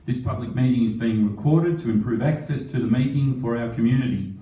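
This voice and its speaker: A man's voice: medium pitch, a little fast, dark tone. A man chairing a council meeting, formally reading the opening procedure with a few fillers. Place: council chamber. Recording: echoey chamber, mic far off.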